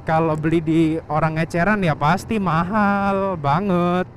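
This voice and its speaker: A man's voice: high voice, steady tone, unhurried. A man chatting casually and informally as a motovlogger while he rides. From Surabaya, Indonesia. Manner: informal, slangy, refers to himself by name. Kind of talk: casual chat while riding a motorbike. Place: outdoors on a moving motorbike on a village road. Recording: engine, wind and road noise. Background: motorbike engine and passing traffic.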